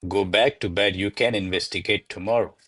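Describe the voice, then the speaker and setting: A man's voice: on the low side, fairly even intonation, brisk, fairly bright tone, no room sound. A man playing a deferential, flustered servant to a noble lady in a radio play, speaking formally and placatingly. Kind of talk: radio play acting of a classic comedy.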